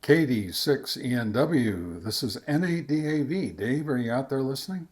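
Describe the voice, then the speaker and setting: A man hosting a casual how-to video, talking informally and somewhat haltingly as he explains each step. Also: mid-range voice, expressive, darkish tone. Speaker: host of a ham radio how-to channel. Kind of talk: casual tutorial narration.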